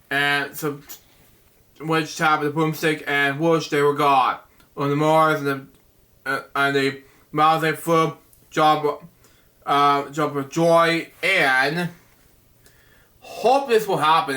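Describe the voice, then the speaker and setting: A man reading a bedtime picture book aloud, halting and stumbling over words, with chatty asides to the listener. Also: a little high, sharp tone.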